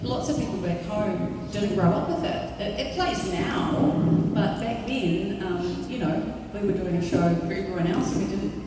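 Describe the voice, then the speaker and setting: A woman's voice: medium pitch, normal speed, hardly any pauses, background noise. A woman with a New Zealand accent chatting friendly as a guest actor at a convention panel. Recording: echoey hall from far off.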